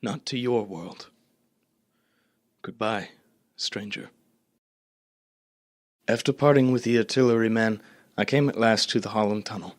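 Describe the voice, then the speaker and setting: A man playing a sober, weary educated survivor in a radio play, speaking in measured, formal, solemn phrases. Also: on the low side, lightly acted. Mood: disillusioned. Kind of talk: radio play dramatic narration.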